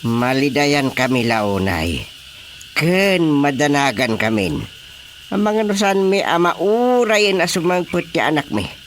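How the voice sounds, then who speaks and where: A man's voice: on the high side, big pitch swings, takes it slowly, a bit bright, a little hiss or hum, exaggerated voice. A man with a Filipino accent playing a worried royal father in a comedic radio drama. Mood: anxious. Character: worried royal father awaiting his princess daughter. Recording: radio drama with faint animal ambience.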